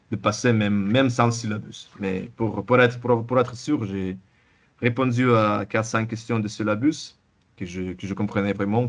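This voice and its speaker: A young man with a Russian accent whose first language is Russian, speaking French haltingly with many restarts and repeated words in a casual interview. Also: lowish voice. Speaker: interviewee, former student.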